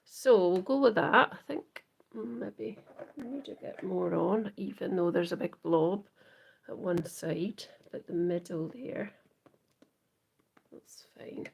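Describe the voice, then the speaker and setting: A Scottish woman hosting a live crafting demo, chatting softly to viewers and often trailing into whispers as she works. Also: on the low side, slow, vocal fry, a little hiss or hum. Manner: soft, often trailing into whispers while working. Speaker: craft livestream host.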